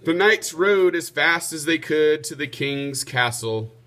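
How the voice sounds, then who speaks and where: A man's voice: a little high, lively intonation, unhurried, sharp tone. A man narrating a children's storybook aloud, like a teacher reading to pupils.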